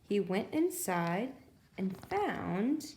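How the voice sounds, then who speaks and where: Slow, mellow woman reading to preschoolers. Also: mid-range voice, echoey room. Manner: expressive storytelling with asides to young listeners. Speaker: children's librarian reading stories. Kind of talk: read-aloud picture book narration for preschoolers.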